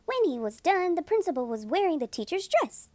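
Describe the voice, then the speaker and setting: Woman narrator for children's stories: emphatic, expressive, lively intonation, close dry recording. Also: very high-pitched, dry recording. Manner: animated, deliberate, emphatic. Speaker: storytime host and reader.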